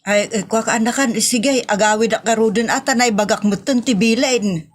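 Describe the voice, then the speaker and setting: A woman's voice: a little high, normal speed, slight background noise, natural voice. Woman acting a gossipy Ilocano village wife. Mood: coaxing, flustered. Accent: Filipino Ilocano. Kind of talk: comic audio drama acting.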